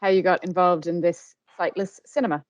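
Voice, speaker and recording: A woman's voice: lowish voice, steady tone, fairly quick, no room sound. A woman hosting a podcast and putting questions to a guest in a conversational way. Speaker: podcast host, communications professional.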